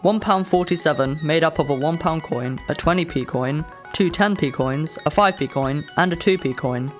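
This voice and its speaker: A man's voice: a little high, normal speed, soft background music, natural voice. A man playing a precise, literal-minded fifteen-year-old boy in a radio drama. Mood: precise, insistent. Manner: matter-of-fact, precise, literal. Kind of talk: radio drama narration and dialogue.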